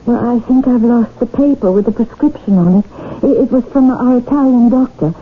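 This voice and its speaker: A woman's voice: a little high, moderate pace, husky. An actress playing a vague, guileless young Englishwoman, detached and hesitant, in a period radio drama. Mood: vague, distant. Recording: tinny old radio broadcast, faint noise.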